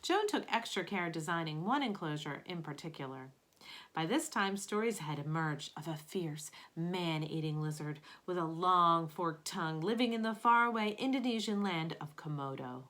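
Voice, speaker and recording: A woman reading a picture book aloud like a teacher at bedtime, with expressive emphasis on key words. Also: fairly low pitch, moderate pace.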